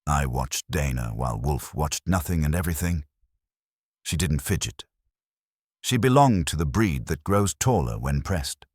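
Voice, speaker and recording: A man reading a scripted first-person detective story as an audiobook narrator, in a wry, deadpan manner. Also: a bass voice, husky, no room sound, studio quality.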